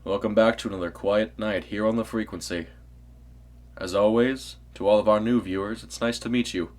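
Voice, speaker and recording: A man playing a late-night radio host in an audio story, greeting listeners with smooth, polished announcer patter. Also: fairly low pitch, fairly even intonation, moderate pace, close to an everyday voice. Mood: smooth, welcoming. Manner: welcoming, polished presenter address.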